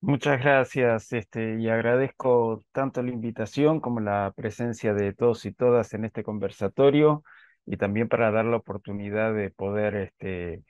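An Argentine man in his forties speaks through a headset on a video call, calm and measured, often pausing on fillers. The sound is dry and a little dull, with no room echo. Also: fairly low pitch. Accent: Argentine Spanish. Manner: measured, with frequent filler "este". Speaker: invited speaker, lawyer. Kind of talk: presentation at an online panel. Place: home office with bookshelves.

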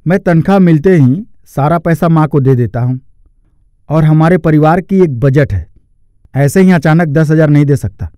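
Man doing exasperated cartoon husband, mellow but a bit high, firm, music behind. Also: expressive, normal speed, no room sound, close to an everyday voice. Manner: firm, reproachful. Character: earnest, exasperated young husband.

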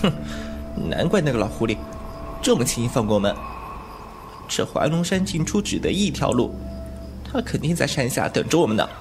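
Glib young martial-arts rogue, male actor, very lively delivery, teasing, audio drama with music underneath. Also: medium pitch. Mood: concerned. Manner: cheeky, quick to mock. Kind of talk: wuxia audio drama acting.

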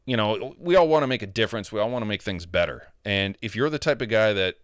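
A man in his thirties with a deep voice, ranting casually and profanely into a handheld mic held close, full of opinions. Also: lively intonation, fast talker, a bit bright, no echo. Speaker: gamer vlogger. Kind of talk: casual, ranting monologue to camera. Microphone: handheld microphone held close to the mouth.

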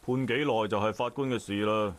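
A man's voice: lowish voice, brisk, close to an everyday voice. A man voicing a confident, taunting police detective in a visual novel, assured and commanding, with a Hong Kong Cantonese accent. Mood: smug, assured. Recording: game voice track with faint noise.

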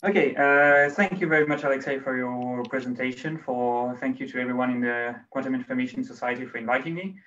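A man's voice: mid-range voice, brisk, hardly any pauses, a bit bright. A man, a PhD student, giving an academic talk on a video call, often restarting his phrases. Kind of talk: academic presentation. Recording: distant, dull video call audio.

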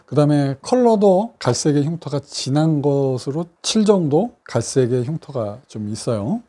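A middle-aged man, a clinic doctor, calmly explaining a patient's treatment case in his office. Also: fairly high pitch, slightly rough. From South Korea. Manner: measured, explanatory, with frequent fillers.

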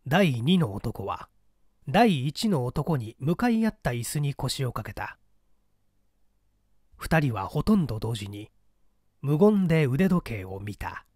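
A man reading a mystery story as a scripted, dramatic narration in a deliberate, theatrical storytelling manner. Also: mid-range voice, big pitch swings, darkish tone, slightly rough, dry recording, clean studio sound. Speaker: audiobook narrator.

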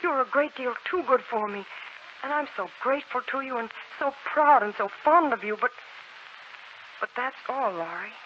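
A woman's voice: high voice, animated, normal speed. Earnest young woman, muffled old radio. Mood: anguished, apologetic. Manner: emotional, earnest. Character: earnest, headstrong young woman, anguished and tender. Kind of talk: 1940s American radio drama acting. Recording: muffled, noisy 1940s radio recording.

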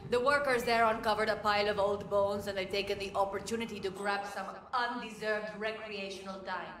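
A woman's voice: mid-range voice, fairly even intonation, a little fast, fairly bright tone, soft background music, lightly acted. Woman playing haughty businesswoman boss, echoey room. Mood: haughty, impatient. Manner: haughty, dismissive, commanding. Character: imperious, impatient businesswoman crime boss. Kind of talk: video game acting. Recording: echoey room, clear background ambience.

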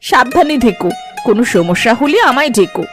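A woman's voice: a little high, expressive, a little fast, natural voice. A woman voicing a caring, mildly scolding village housewife and mother in a cartoon. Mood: friendly, caring. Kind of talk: Bengali cartoon voice acting. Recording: music behind the voice.

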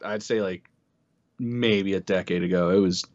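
A man's voice: sits low, fairly even intonation, normal speed, darkish tone. An American man chatting casually as a guest, blunt and slangy, swearing freely, on slightly muffled audio. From Ogden, Utah, United States. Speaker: guest co-reader. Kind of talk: casual chat.

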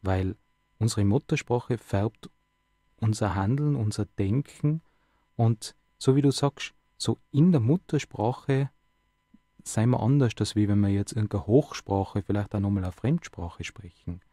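Mellow-voiced radio host, man. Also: lowish voice, unhurried, no room sound, clean studio sound. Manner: conversational, asks many questions, tag words. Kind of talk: radio interview.

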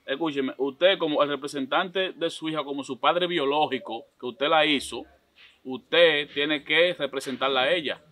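A man's voice: fairly high pitch, moderate pace, sharp tone, slight background noise. A man in his thirties with a Dominican accent, making an insistent, repetitive, confrontational prank phone call. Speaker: prank caller. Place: outdoors by a block wall.

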